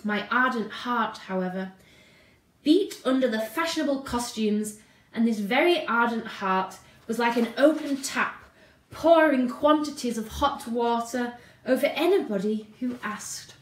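A woman plays an eccentric old lady with a high voice. She tells her story grandly and vainly, drawing out words with theatrical deliberation. The room adds clear echo. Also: distant mic, natural voice. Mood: wistful, dramatic. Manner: theatrical, deliberate, drawn-out delivery. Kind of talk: dramatic reading of a short story. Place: indoor room at home. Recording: roomy home sound.

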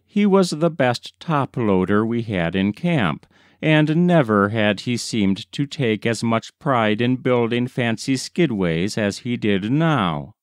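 A man narrating a short story from a script in a measured storytelling manner. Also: mid-range voice, clean tone, dry recording, studio quality. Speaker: audiobook narrator.

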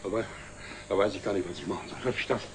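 An actor playing a genial middle-aged company director in an old television drama, prone to stammering when agitated. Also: male voice, low voice, very fast, lightly acted. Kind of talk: 1960s German television drama acting. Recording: noisy old 1960s television soundtrack.

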